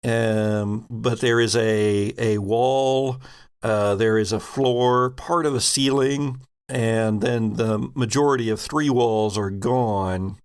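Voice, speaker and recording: A man with a gravelly edge to his mid-pitched voice, acting as game master. He narrates casually and haltingly, thinking aloud between rules and scene description.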